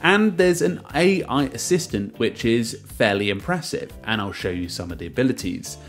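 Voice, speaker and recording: Man reviewing software over background music. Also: mid-range voice, expressive, moderate pace. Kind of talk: casual narrated screen-share software review. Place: voice-over to a screen recording.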